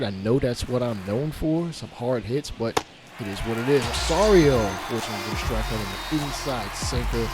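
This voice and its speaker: A man with a cold-stuffed nasal voice, casually commentating a baseball video game from a home studio mic. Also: medium pitch. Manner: laid-back, chatty play-by-play with asides. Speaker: gaming channel host. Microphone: studio mic on a boom arm. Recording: game music underneath.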